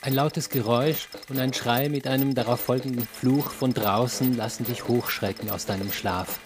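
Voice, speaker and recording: A man narrating a radio play in the second person, telling the story in a measured, descriptive way. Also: mid-range voice, steady tone. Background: music.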